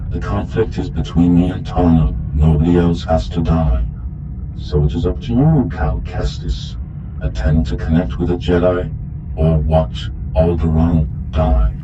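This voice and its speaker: A man playing a menacing dark lord in a masked suit, speaking with cold, slow threat in a sci-fi audio drama. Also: sits low, background noise, close to an everyday voice. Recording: muffled, echoey, distant voice under music.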